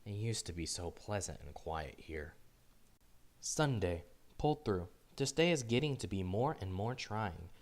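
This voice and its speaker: Guy reading as grumpy loner first man writing his diary, lowish voice, lots of up and down, fussy and tired of it all, storybook style. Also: a little slow. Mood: resigned grumbling. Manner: weary, fussy, deadpan complaining. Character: Adam, grumpy bewildered first man, solitary diarist.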